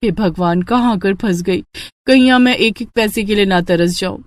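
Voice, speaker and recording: A woman's voice: medium pitch, normal speed, no echo, clean studio sound, close to an everyday voice. A woman voicing a young new bride in a moral story cartoon, a mischievous food-loving younger sister-in-law. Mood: anxious. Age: young adult.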